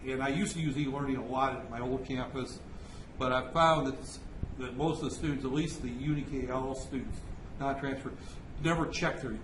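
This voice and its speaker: Male lecturer, halting delivery with restarts, roomy classroom sound. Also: mid-range voice, steady tone. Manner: halting, self-correcting. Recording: echoey classroom with background noise.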